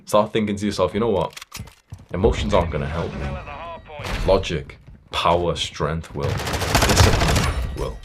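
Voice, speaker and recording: A man's voice: low-pitched, measured pace, pauses often, heavy background noise. A young man giving a blunt, stern motivational talk as a self-improvement coach, emphatic and swearing freely.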